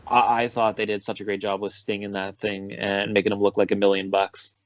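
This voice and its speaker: A man's voice: fairly low pitch, brisk, no echo, muffled recording. A man with a Canadian accent, a pro wrestler talking casually in an interview with lots of fillers. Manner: relaxed, chatty, with frequent fillers.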